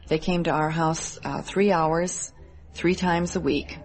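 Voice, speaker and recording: A woman's voice: deep voice, fairly even intonation, slow pace, faint music behind. A woman in her thirties with a Midwestern American accent, answering interview questions in an earnest, articulate way. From Midwest United States. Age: 30s. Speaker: interview guest, military wife.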